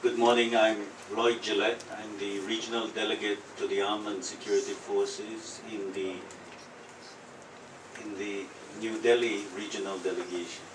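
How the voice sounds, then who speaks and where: Man, monotone delegate introduction, distant mic, echoey. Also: fairly low pitch, moderate pace. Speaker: regional delegate. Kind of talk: formal self-introduction. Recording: echoey room, distant mic, clear noise.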